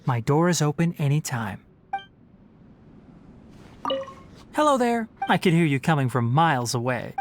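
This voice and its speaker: Fox-eared young game ranger, low register, very animated intonation, bookish, articulate, wry and teasing. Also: female voice, fairly quick, slight background noise. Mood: bright, wry. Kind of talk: scripted game character voice lines.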